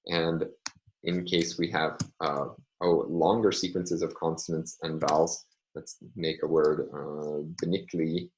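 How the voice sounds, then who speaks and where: A man lecturing in a measured way, often pausing with ums and uhs as he explains. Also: a bass voice, little pitch movement, darkish tone, a little hiss or hum, low-quality recording. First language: English. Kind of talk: recorded online lecture.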